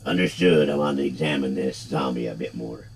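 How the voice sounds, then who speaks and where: A man voicing a grim, amnesiac immortal man in a very deep, dark, gravelly voice, reading game dialogue aloud. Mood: grim, puzzled. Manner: slow, measured, gravelly.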